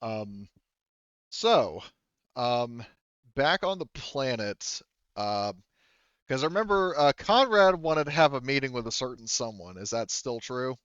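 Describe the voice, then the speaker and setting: Male game master, casual and rambling, clear smooth voice, close dry sound on a slightly dull mic. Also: mid-range voice, lively intonation, fairly bright tone, dry recording. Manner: halting, rambling, searching for words. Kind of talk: casual improvised tabletop game narration.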